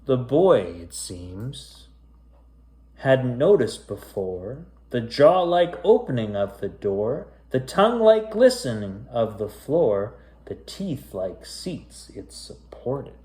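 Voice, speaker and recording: A man's voice: mid-range voice, big pitch swings, a little mellow. A man reading a children's bedtime story aloud in a theatrical, suspenseful way. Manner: theatrical, drawn-out, suspenseful delivery. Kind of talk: dramatic storybook read-aloud with casual asides. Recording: roomy, echoey sound.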